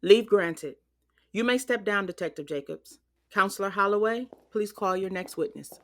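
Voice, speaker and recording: A woman's voice: mid-range voice, moderate pace, close to an everyday voice. An actress voices a female judge in an audio drama. Her mid-range voice is formal and authoritative, keeping stern order over the courtroom.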